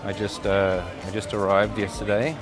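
Brief: male street presenter, low, chatty and playful, music bed. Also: flat delivery, normal speed. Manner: upbeat, chatty and teasing. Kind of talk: casual street chat. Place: outdoors on a city street. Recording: street clip with music over it.

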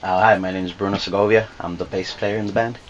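Low-voiced easygoing man from Chile. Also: fairly even intonation, fast, muffled recording, slight background noise. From Santiago, Chile. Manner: easygoing, laughing. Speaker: band bass player. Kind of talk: casual interview talk. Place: music studio, indoors.

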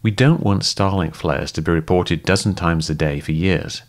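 A man giving calm, methodical scripted narration that explains things step by step. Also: sits low, moderate pace. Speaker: host and debunker.

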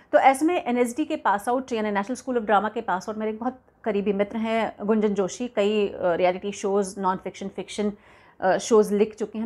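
A woman in her forties hosting an entertainment news commentary to camera from a room at home, speaking earnestly and measuredly with frequent hesitations. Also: fairly high pitch.

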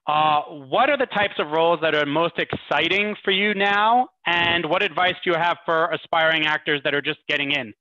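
A man's voice: a little high, normal speed, hardly any pauses, bright, forceful tone, dry recording. A man hosting a charity livestream informally over a video call, with hesitant, appreciative delivery. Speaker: host. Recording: dull video call audio.

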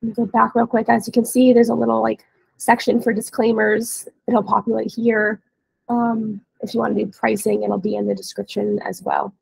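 Female webinar trainer, thirties. Scratchy, heavily creaky voice with a cold, even pitch, informal and explanatory, close headset sound. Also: normal speed, no room sound. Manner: casual, explanatory. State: hoarse from a cold. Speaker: webinar trainer, dealer support staff. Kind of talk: informal software training walkthrough. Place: indoor room, on a video call.

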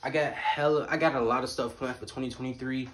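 A man's voice: mid-range voice, steady tone, quick pace, noisy background. A twenty-year-old American man chatting casually to camera in a slangy, emphatic vlog style, repeating phrases for emphasis. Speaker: YouTube vlogger. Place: small indoor room at home. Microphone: phone or camera at arm's length.

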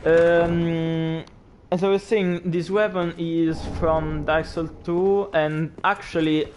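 Young Italian-accented male streamer, unhurried game commentary over a noisy home mic. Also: a little high, little pitch movement, darkish tone. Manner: chatty, reacts aloud to the game, apologizes for pronunciation. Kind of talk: gameplay commentary.

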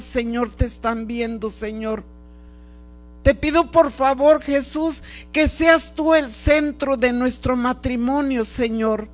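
A prayer leader speaking a fervent, improvised devotional prayer in short, pleading, repeated phrases. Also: medium pitch. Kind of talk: fervent extemporaneous spoken prayer. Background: music.

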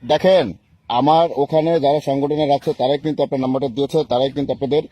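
A man's voice: mid-range voice, steady tone, quick pace, dark tone, dry recording. A Bangladeshi man on a recorded phone call, delivering stern accusations in a declamatory, speech-like way as a group's leader. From Gopalganj region, Bangladesh.

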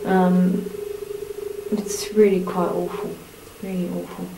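An Australian woman activist gives a formal, measured statement for a camcorder, hesitating with fillers as she describes things. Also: on the low side, flat delivery, creaky, background noise. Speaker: animal rights activist. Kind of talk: spoken statement for camera. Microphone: camcorder mic. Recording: echoey 1990s camcorder audio.

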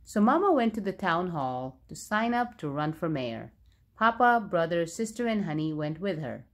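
A woman narrating a children's storybook aloud, expressively and with clear phrasing for young listeners. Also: on the low side, very animated, normal speed.

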